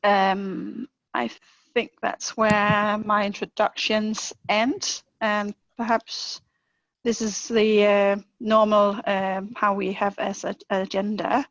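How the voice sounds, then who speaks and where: A woman's voice: mid-range voice, little pitch movement, no room sound. Woman hosting a webinar. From Denmark. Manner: friendly, slightly halting with fillers. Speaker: webinar host, lean manager. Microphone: video call. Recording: dull, dry video-call audio.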